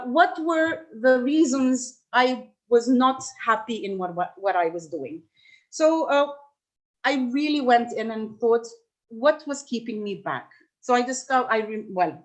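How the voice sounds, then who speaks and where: A middle-aged woman from Lebanon giving a reflective workshop talk, with frequent restarts and fillers as she thinks aloud. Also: fairly high pitch. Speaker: workshop speaker.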